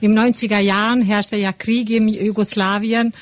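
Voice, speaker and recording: A middle-aged woman from Kosovo, once a teacher, telling her story with many pauses and ums. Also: mid-range voice, level tone, no echo. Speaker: interviewee, former teacher. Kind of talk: personal account in an interview.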